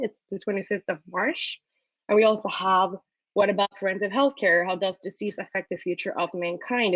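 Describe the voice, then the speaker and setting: A woman's voice: mid-range voice, no echo, muffled recording. A woman hosting a webinar, presenting a student startup hub in an upbeat way with frequent fillers, heard over a video call. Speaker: webinar host.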